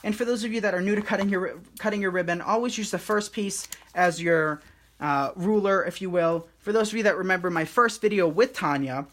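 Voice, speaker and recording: A man hosting a live craft tutorial, talking viewers through each step in a soothing, relaxed and unhurried way. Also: sits high.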